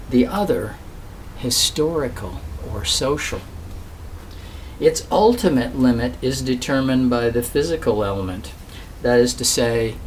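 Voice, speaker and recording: Slow-reading man, distant and noisy recording. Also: medium pitch. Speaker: reader of a historical political speech. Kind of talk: reading aloud a formal speech, oratorical. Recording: distant mic, clear steady background hiss.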